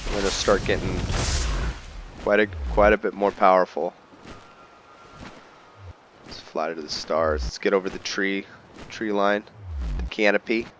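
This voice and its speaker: A man's voice: low voice, steady tone, measured pace, long pauses. A man talks through his own video game playthrough. He takes his time, musing casually to himself. There is faint noise behind him. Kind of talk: casual gameplay commentary, thinking aloud.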